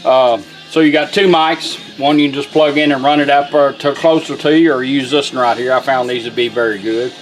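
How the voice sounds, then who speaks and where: A man with a Southern US accent giving a casual, plain-spoken, unhurried product demonstration as a radio restorer. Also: mid-range voice, steady tone, soft background music.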